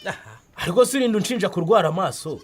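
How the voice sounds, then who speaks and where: Stubborn proud big man, Rwandan accent, high pitch, quick blustering speech, radio drama. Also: animated, background noise. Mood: boastful, scoffing. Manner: boastful, blustering, repetitive muttering and grunting. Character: stubborn proud burly man refusing eye care.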